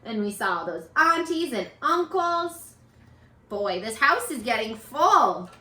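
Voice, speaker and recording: Animated, bright-voiced woman reading to children. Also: fairly high pitch, slow pace. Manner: expressive, exaggerated, encouraging toward young listeners. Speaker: teacher reading a picture book aloud. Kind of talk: animated children's read-aloud with questions to listeners. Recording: echoey, roomy sound.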